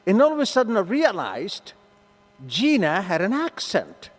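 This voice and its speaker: An older man with a thick Argentine Spanish accent tells his story to a meeting, his pitch sitting a little high and leaping around as he acts out the voices. His voice carries through a reverberant hall, the sound ringing on after each phrase. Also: huge pitch swings, measured pace. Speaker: recovery meeting speaker.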